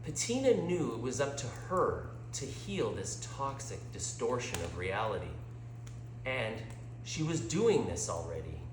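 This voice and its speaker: A man reading a scripted story aloud in a deliberate, dramatic narrating style with pauses. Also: on the low side. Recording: roomy echo with clear background hum.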